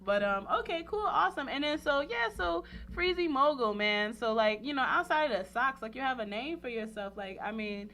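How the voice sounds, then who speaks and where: A woman hosting a casual talk show interview in a playful, animated way, with lots of slang and filler phrases like "you know". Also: high-pitched, normal speed, hardly any pauses. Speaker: radio talk show host.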